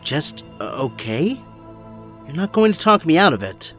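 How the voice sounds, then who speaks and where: A man's voice: a little high, sing-song, measured pace, fairly bright tone, faint music behind. A man acting a haunted, stubborn young superhero in an audio drama, earnest and emphatic. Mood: haunted, resolute.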